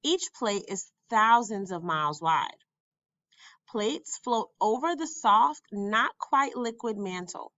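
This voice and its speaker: A woman reading a children's science book aloud with deliberate, emphatic phrasing, like a teacher for young learners. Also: medium pitch, animated, fairly bright tone, no echo, muffled recording. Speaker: school staff member reading a textbook aloud.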